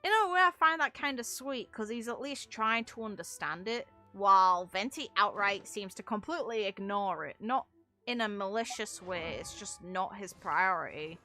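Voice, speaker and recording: A woman's voice: mid-range voice, expressive, moderate pace, pushed and bright, soft background music. A young adult woman giving casual, rambling game commentary in an informal, amused way, as a streamer.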